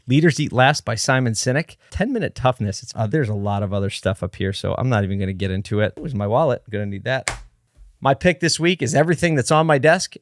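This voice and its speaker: A man hosting a solo podcast from his office desk, slightly hoarse and low on energy, talking in a rambling, candid way and pepping himself up as he goes. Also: no room sound, clean studio sound. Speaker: podcast host. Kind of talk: casual solo podcast talk.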